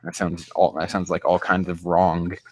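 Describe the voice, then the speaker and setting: A man hosting a casual livestream, rambling and haltingly muttering to himself, with loose, crude language. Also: low-pitched, level tone, no room sound. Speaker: livestream host.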